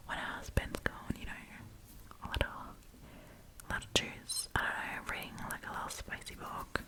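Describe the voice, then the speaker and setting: A woman doing an ASMR storytime, chatting casually and informally with rambling asides. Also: rough recording.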